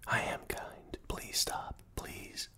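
A desperate, lonely man in his forties, acted by a man for a radio play. He pleads in a breathy, fragile whisper, repeating himself haltingly as if begging to be noticed. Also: bright, forceful tone. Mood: desperate, insistent. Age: 40s. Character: desperate, lonely forty-two-year-old man pleading to be seen.

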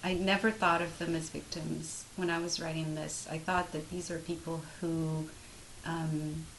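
Soft-spoken woman, first language Arabic. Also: low-pitched, flat delivery, gravelly, a little hiss or hum, rough recording. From Morocco. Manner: measured, restarts phrases while thinking. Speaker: author being interviewed. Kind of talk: thoughtful interview answers.

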